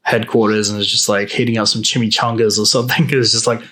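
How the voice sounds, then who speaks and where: A man's voice: lowish voice, level tone, fast talker, pushed and bright. A man chatting casually and enthusiastically as a podcast panelist, with informal, fannish delivery. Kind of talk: casual group chat.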